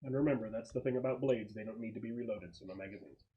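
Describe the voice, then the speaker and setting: A man narrating a tabletop game as game master in a casual, slangy way with halting descriptions. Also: fairly low pitch, highs cut off, loud music behind the voice.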